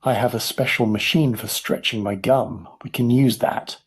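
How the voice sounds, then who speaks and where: A man voicing an excitable, eccentric chocolate inventor in a storybook, theatrical and cheerful, full of exclamation. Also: mid-range voice, moderate pace, slightly rough, natural voice. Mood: eager.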